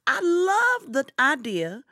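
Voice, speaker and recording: A woman's voice: high voice, huge pitch swings, slow, sharp tone, dry recording, clean studio sound. A woman, co-hosting a podcast in casual, affectionate chat. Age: 40s. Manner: chatty, affectionate, calls her partner honey. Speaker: podcast co-host.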